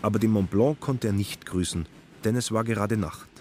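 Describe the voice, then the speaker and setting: A man's voice: lowish voice, normal speed, darkish tone, a little hiss or hum. A man narrating a literary radio play with scripted, storytelling delivery.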